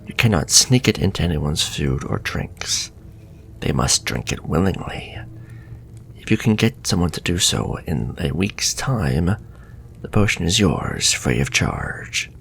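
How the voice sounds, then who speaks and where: A man's voice: low-pitched, lively intonation, slight background noise, exaggerated voice. A man playing a sly, sadistic, flirtatious warlock in an ASMR fantasy roleplay, murmuring breathily and taunting his listener. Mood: smooth, calculating. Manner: slow, taunting, breathy murmur with soft chuckles. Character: sly, sadistic, flirtatious male warlock striking a cruel bargain.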